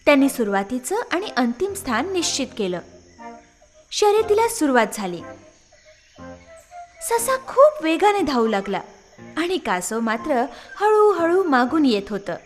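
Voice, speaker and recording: A woman's voice: high-pitched, very animated, measured pace, long pauses, soft background music. A woman narrating scripted moral stories for children as a storyteller.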